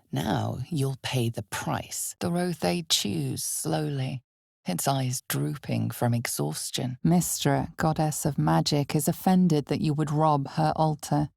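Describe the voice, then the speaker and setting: A woman playing an ominous, knowing narrator of a dark fantasy video game, speaking in a measured, dramatic, hushed way. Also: low voice, gravelly, dry recording, clean studio sound, full character voice. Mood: dark, menacing.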